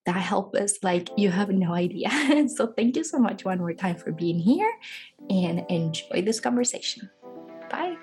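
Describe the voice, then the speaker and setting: A woman's voice: medium pitch, sing-song, a little slow, a little mellow, faint music behind. A woman hosting a podcast in an enthusiastic, effusive, conversational way. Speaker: podcast host. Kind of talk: conversational podcast presenting and interviewing.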